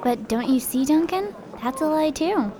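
A woman's voice: sits high, animated, normal speed, a crowd in the background. A young woman playing a scheming, bossy student rebel leader in an audio drama. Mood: accusing.

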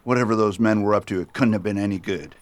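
An actor playing a steady, caring US marshal in a western radio drama. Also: male voice, on the low side, fast talker, natural voice. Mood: concerned.

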